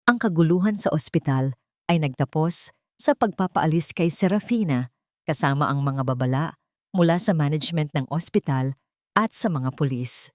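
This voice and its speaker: A woman's voice: sits low, normal speed, a little mellow, no room sound, studio quality. A woman narrating a scripted dramatic story.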